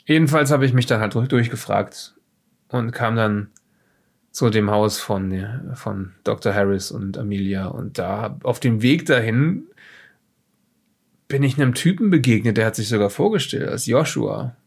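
A man playing a nervous, gravelly, evasive witness. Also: on the low side, animated, full character voice. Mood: indignant, dismissive. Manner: hesitant, halting, indignant, with self-corrections. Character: nervous, evasive, haughty male witness under police questioning. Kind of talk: improvised in-character audio drama dialogue.

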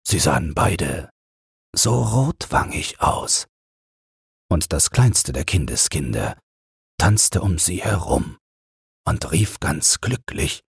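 A man reads a fairy tale as a slow bedtime narration. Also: very deep voice, very animated, creaky, husky, no echo, clean studio sound. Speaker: audiobook reader.

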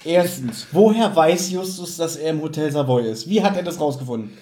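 High-voiced man in mocking podcast banter. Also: expressive, fairly quick, warm tone. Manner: animatedly questioning, mocking, acts out quotes. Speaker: podcast co-host. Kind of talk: casual podcast banter, critiquing a story.

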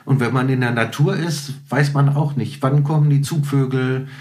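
A man's voice: mid-range voice, fairly even intonation, moderate pace, darkish tone. A man speaking as a podcast guest and musician, telling anecdotes in a conversational interview.

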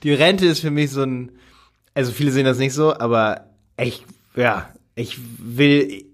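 A man's voice: medium pitch, measured pace. A man in his late twenties co-hosting a podcast in casual chat, talking emphatically and laughing often. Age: 20s. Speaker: podcast co-host.

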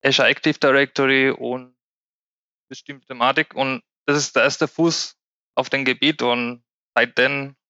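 A man from Spain talks as a podcast guest in German with a clear Spanish accent and a regional dialect, choosing his words with care. His voice is bright and crisp. The sound is dry and a little muffled. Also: mid-range voice, little pitch movement, measured pace, no echo. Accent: Spanish, with a Lower Franconian German dialect from the Aschaffenburg area. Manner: careful, searching for words, occasional grammar slips. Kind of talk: casual interview chat.

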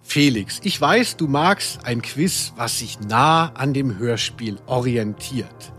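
A man's voice: mid-range voice, lively intonation, slow pace, a bit bright, soft background music. A man hosting a chatty podcast and running a quiz in a playful, tongue-in-cheek way. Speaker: podcast host and quizmaster. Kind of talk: casual chatty podcast talk.

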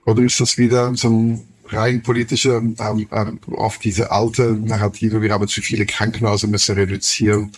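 Husky Flemish-accented man interviewing. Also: on the low side, level tone, fairly quick, few pauses, poor audio. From Flanders, Belgium. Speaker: podcast host, interviewer. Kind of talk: casual conversational interviewing.

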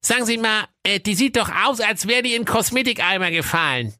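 A man's voice: high-pitched, animated, a little fast, sharp tone, no room sound, studio quality, pitched above the performer's own voice, exaggerated voice. A man voicing a fussy, bumbling personnel clerk in a comic fairy tale, hesitant and dismissive.

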